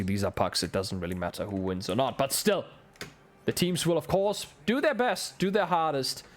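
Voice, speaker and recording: A young man with a German accent, whose first language is German, doing solo play-by-play commentary on an esports match, building up with the action. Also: fairly high pitch. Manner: excitable, ramps up with the action, chats with viewers. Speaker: esports caster.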